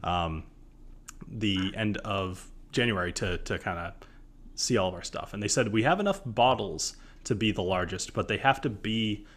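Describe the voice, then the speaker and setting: A man in his thirties with a fairly low voice hosts a casual live stream. He talks in a rambling, conversational way with a joking streak. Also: normal speed, a bit bright. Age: 30s. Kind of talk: casual chat about whiskey on a live stream.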